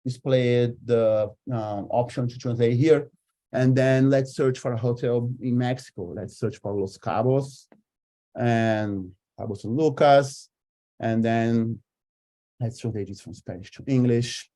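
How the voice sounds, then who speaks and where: A man whose first language is Portuguese gives a casual live software demo, haltingly thinking aloud as he goes. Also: medium pitch. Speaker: software presenter. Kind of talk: live screen-share demo, casual explanation.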